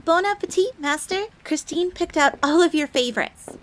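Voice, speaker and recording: A woman's voice: very high voice, lively intonation, moderate pace, noisy background. A woman voicing a devoted, eager young fox maid in an audio roleplay, with a sweet, deferential manner. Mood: cheerful, eager. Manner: deferential, refers to herself in third person.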